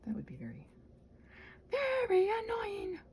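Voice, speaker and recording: A woman chatting casually in a rambling ASMR style, with a soft breathy voice that often drops into a whisper, questioning herself and thinking out loud. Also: very high-pitched, wildly swooping pitch, a little slow. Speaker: ASMR video creator and host.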